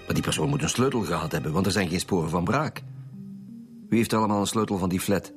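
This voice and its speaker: A man's voice: sits low, fast, close to an everyday voice. A man with a Flemish accent playing a stern, wry lead homicide detective in a radio drama, with a formal, probing manner. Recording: music behind the voice.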